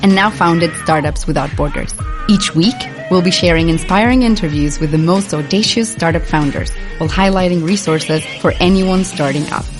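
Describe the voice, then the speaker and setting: A woman's voice: fairly low pitch, moderate pace, over music. Upbeat woman podcast host. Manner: upbeat and eager. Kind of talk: scripted intro and interview questions.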